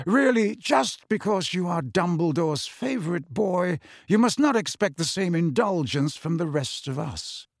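A man voicing a cold, sneering aristocratic wizard with a slow, cool drawl in a dry audiobook recording. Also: high-pitched, animated, gravelly, dry recording, pitched above the performer's own voice, exaggerated voice. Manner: slow, cool drawl with soft trailing endings.

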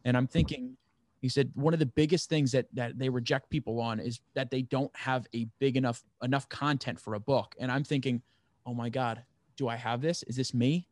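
A man's voice: medium pitch, steady tone, normal speed, a little mellow, dry recording, studio quality. A man in his twenties chatting casually as he seeks advice, rambling and earnest. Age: 20s. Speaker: podcast host, first-time writer seeking advice. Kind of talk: casual chat.

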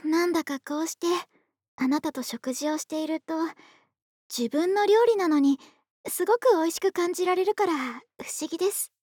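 Very high-voiced gentle young woman next door. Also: animated, moderate pace, dry recording, studio quality. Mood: happy, tender. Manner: soft-spoken, courteous, polite speech, affectionate. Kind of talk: Japanese ASMR situation voice drama, intimate roleplay. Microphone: close studio microphone, dry.

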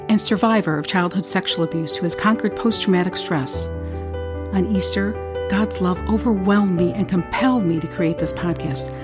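A woman hosting a faith podcast, reading a measured introduction and then asking casual questions. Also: fairly low pitch. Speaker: podcast host. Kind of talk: scripted introduction and interview questions.

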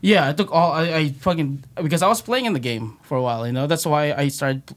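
A man's voice: a little high, fast talker. A man from the Philippines, a band member, chatting casually in a podcast interview, rambling with many fillers and frequent swearing.

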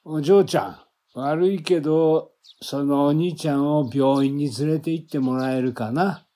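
Guy doing a kindly old forest man for a radio play, slow n mellow, folksy and reassuring. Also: fairly high pitch, steady tone, close to an everyday voice. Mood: calm, reassuring. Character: older man at home in the forest, gruff-kindly guide. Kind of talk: audio drama acting.

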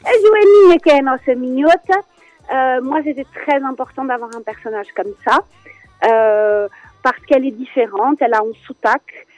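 A French woman with a French accent, a children's singer chatting as a radio guest, lively and quick to laugh. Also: high voice, no echo, soft background music, poor audio. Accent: French with Alsatian touch. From France. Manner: animated, laughs often, many fillers. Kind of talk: casual radio interview chat.

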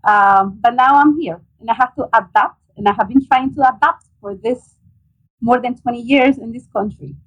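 A woman's voice: fairly high pitch, moderate pace, husky, highs cut off. A woman in her forties from El Salvador with a Salvadoran accent, answering interview questions earnestly with many ums over a video call. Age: 40s. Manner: earnest, hesitant with frequent ums. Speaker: guest, nonprofit director.

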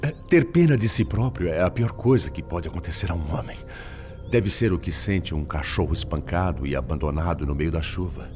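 A low male voice with expressive swings plays an anguished spirit in a Brazilian radio drama. He speaks formally and eloquently with a Brazilian accent, weighed down by sorrow. Music plays clearly behind him. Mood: anguished, ashamed. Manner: formal, eloquent, sorrowful, halting when troubled. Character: Pedro, anguished male spirit, once a principled lawyer.

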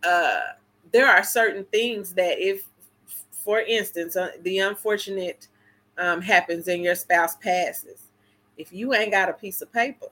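Lady in her 40s, bright forceful voice, blunt and emphatic, laughs loud. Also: mid-range voice, measured pace. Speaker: co-host. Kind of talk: casual chat.

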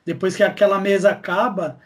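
A man's voice: high voice, level tone, fairly quick. A man with a Brazilian Portuguese accent talking casually as a guest in a podcast interview. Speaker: podcast guest, audiodrama creator.

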